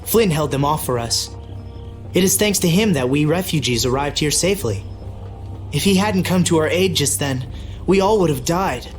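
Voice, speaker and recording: A man playing a gentle, courteous young nobleman in a video game, speaking formally and earnestly in measured phrases. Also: a little high, animated, soft background music, lightly acted. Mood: grave, earnest. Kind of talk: English video game dub.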